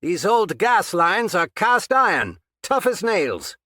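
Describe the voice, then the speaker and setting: A man's voice: sits high, big pitch swings, takes it slowly, sharp tone, dry recording, studio quality, voice pushed up, full character voice. A man voicing a gloating, grandiose villain. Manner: raised, grandiose, taunting delivery. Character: menacing, pompous male villain, gloating and cold.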